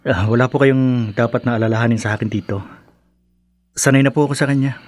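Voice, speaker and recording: Radio drama suitor played by a man: young, polite, gently insistent, mid-range voice, dry recording. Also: mid-range voice, steady tone, fairly quick, dry recording, natural voice. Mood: polite, subdued. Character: earnest, courteous young boyfriend seeking answers.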